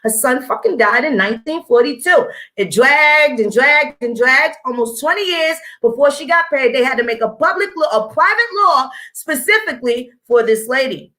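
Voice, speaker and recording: High, bright-voiced woman ranting bluntly. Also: expressive, normal speed, hardly any pauses. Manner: blunt, swearing, talks straight at the audience. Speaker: vlogger. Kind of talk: profane, combative commentary while reading legal text aloud.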